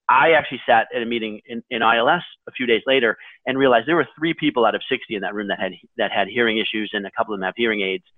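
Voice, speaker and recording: Loud-voiced man on a tinny line. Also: on the low side, no room sound. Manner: loud, carrying voice; rambling with restarts. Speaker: podcast co-host. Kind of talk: casual chat.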